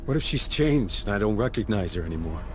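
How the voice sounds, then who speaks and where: An actor playing a weary, sardonic middle-aged marine sergeant in a video game, with a gruff, wry, blunt manner. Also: male voice, fairly low pitch, lively intonation, quick pace, music in the background, lightly acted. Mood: brooding, worried. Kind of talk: cinematic video game acting.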